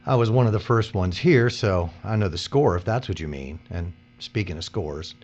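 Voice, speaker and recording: A cynical veteran laborer, acted by a man, weary and blunt with gruff sarcasm. His lowish voice moves around a lot in pitch. It is dark audio drama with slightly muffled sound. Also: expressive, normal speed. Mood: wry, weary. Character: weary, cynical male veteran worker mentoring a younger one. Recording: dull audio with faint mains hum.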